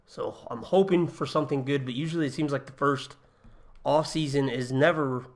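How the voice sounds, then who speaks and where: Young guy on a game stream, bit raspy from a cold, mid-pitched, lots of ums. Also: normal speed. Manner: relaxed, thinking aloud with many ums and uhs. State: getting over a cold, a little raspy. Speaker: gaming livestreamer. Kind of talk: casual livestream commentary. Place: home apartment.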